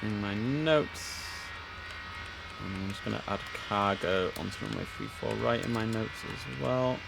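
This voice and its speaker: A man's voice: lowish voice, very animated, a little slow, gravelly, music in the background. A man giving casual live-stream commentary as he plays air traffic controller, easygoing and half talking to himself, slipping into clipped controller phraseology.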